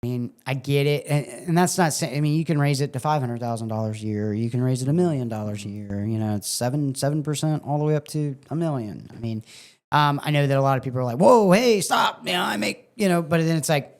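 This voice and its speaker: This is a man hosting a podcast interview. His voice sits at an ordinary middle pitch. He talks in a loose, casual way and leans on filler words. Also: fast. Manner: relaxed, conversational, many fillers. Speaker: podcast host.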